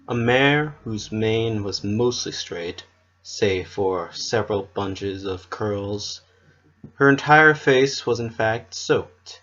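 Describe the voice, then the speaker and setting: A narrator giving an expressive, measured dramatic reading of a scripted story. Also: low-pitched, muffled recording.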